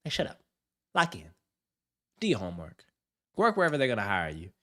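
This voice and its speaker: A man's voice: fairly low pitch, wildly swooping pitch, a little fast, sharp tone. A man hosting a livestream, reacting to a video with hyped, slang-heavy banter and frequent laughs. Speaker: streamer host. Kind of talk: casual livestream commentary.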